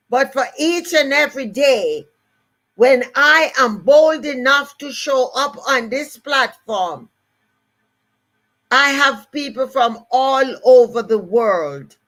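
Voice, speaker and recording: An older woman with a Jamaican accent speaks slowly and deliberately in a motivational talk. She stresses and repeats her main phrases. Her voice sits a little high. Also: a bit bright. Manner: emphatic, repeats key phrases. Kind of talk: motivational live-stream talk.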